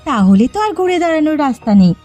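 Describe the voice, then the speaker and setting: A woman's voice: sits high, big pitch swings, fast talker, warm tone. A woman voicing a schemer's spiteful wife in a cartoon, gloating and mocking, with music behind her. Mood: gloating, amused. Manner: gleeful, mocking. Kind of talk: exaggerated cartoon villain dialogue.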